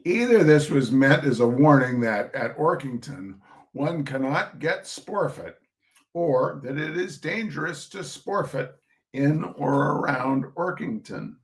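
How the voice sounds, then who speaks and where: A man reads humorous prose in an informal, chatty way. His pitch is on the high side and moves around a great deal, while the pace stays leisurely. The delivery is droll and conversational. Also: expressive, takes it slowly. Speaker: reader of short humorous pieces. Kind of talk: informal live reading of comic essays with chatty asides.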